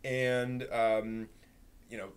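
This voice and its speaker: A man's voice: medium pitch, flat delivery, extremely slow, crowd noise. A man hosting a casual live stream discussion, talking in a hesitant way that circles toward his point.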